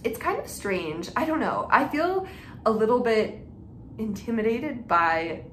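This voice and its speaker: A woman's voice: fairly high pitch, very animated, measured pace. A woman hosting a craft podcast, chatting informally to her viewers with frequent filler "like" and self-interruptions. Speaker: knitting and reading podcast host. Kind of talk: casual chat to viewers. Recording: echoey room with faint background noise.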